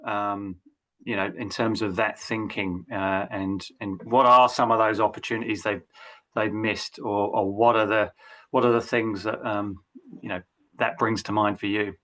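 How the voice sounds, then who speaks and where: A man with a fairly low voice hosts a business webinar over a video call. He speaks hesitantly, with frequent ums and restarts as he introduces the guest and asks questions. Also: steady tone, normal speed, bright, forceful tone. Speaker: webinar host. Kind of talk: webinar hosting.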